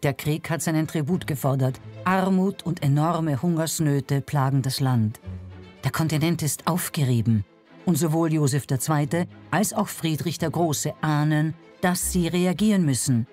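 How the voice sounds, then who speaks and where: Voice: mid-range voice, normal speed, a little mellow, soft background music. A narrator reading a scripted historical documentary.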